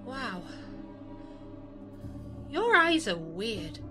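A woman's voice: on the high side, very animated, extremely slow, bright, forceful tone, faint music behind, heavily acted. An actress playing a wary, incredulous and plain-spoken young woman in an audio drama. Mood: bewildered. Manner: incredulous, wry.

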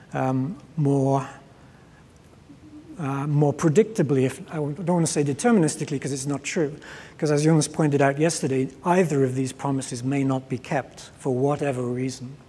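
Male keynote speaker, middle-aged, slightly high-pitched and husky, measured explanatory delivery, big reverberant hall. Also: dark tone. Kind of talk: conference keynote, explanatory lecture. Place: large conference stage, indoors. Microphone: clip-on lapel mic.

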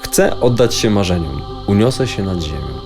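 Casting: calm, instructive meditation guide for a radio play, lowish voice, measured philosophical delivery, prominent music underneath. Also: male voice, vocal fry. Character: calm male guide leading a dreamy levitation exercise. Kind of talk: scripted guided-meditation audio play narration.

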